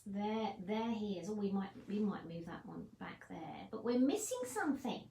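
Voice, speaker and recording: A woman presenting children's story time, talking through a craft in a gentle, encouraging teacher's way. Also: medium pitch, animated, moderate pace, creaky, reverberant, slight background noise, low-quality recording. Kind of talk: children's craft demonstration and chat.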